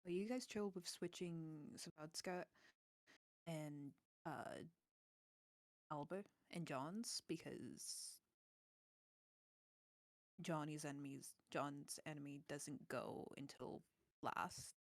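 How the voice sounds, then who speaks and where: Woman gamer talking tactics casually, lowish voice, dry sound. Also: takes it slowly, long pauses, dry recording. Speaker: tabletop game player. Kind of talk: casual discussion of game tactics.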